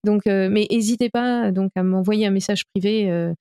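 A woman's voice: medium pitch, steady tone, normal speed, mellow, clean tone. A woman from France, an interpreter, answering interview questions earnestly with many hesitations and restarts. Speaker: interpreter, guest.

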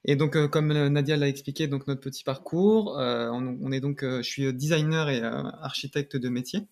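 A man in his thirties with a Parisian French accent, giving halting, often rephrased answers as an interview guest. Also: mid-range voice, a little mellow. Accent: French, Parisian region. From Paris region, France. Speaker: podcast guest, designer and video creator. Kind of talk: casual interview chat.

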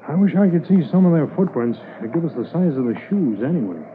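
Dogged Mountie sergeant, tinny radio sound. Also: male voice, on the high side, big pitch swings, fast talker. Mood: urgent, alert. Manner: formal, businesslike. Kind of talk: 1950s radio acting. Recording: noisy, tinny 1950s radio recording.

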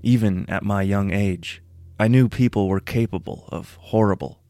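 Low-voiced man narrating a solemn story. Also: normal speed, warm tone, no room sound, clean studio sound. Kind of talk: scripted first-person story narration. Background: music.

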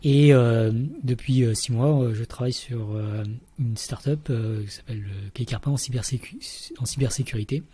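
A man's voice: medium pitch, normal speed, few pauses, dark tone, breathy edge. A man in his thirties, a podcast guest and researcher, explaining at length with many fillers and restarts. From Vietnam, raised in the Paris region. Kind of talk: casual interview talk.

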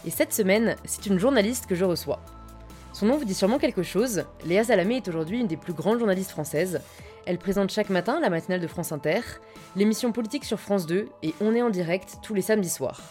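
A woman's voice: medium pitch, animated, quick pace, darkish tone, faint music behind. A woman in her twenties speaking as a podcast host and interviewer. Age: 20s. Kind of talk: scripted introduction and conversational interview.

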